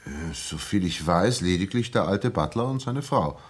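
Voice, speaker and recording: A determined former soldier in a 1960s radio play. The voice is fairly low and husky. He speaks earnestly and emphatically, in well-spoken, careful phrases. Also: lively intonation, moderate pace. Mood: earnest recounting. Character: earnest, determined male ex-soldier worried for his comrade. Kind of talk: 1960s German radio drama acting.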